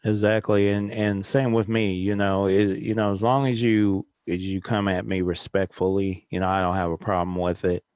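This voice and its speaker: A man's voice: low voice, little pitch movement, moderate pace, few pauses, a little mellow, no room sound, studio quality. A man talking casually in a discussion, informal and conciliatory in manner.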